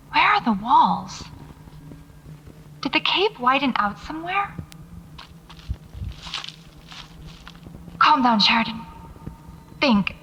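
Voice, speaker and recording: A woman's voice: high-pitched, sharp tone, a little hiss or hum, full character voice, low-quality recording. A woman acting as a wry, stubborn paranormal investigator in an audio drama, talking to herself as she records field notes. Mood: unnerved. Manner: muttering to herself, sardonic, self-coaching.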